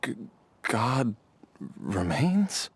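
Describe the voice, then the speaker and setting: A man's voice: medium pitch, very animated, extremely slow, a little hiss or hum, heavily acted. A man voicing a sickly, confused father in a video game, stammering and vague, trailing between hesitant fragments.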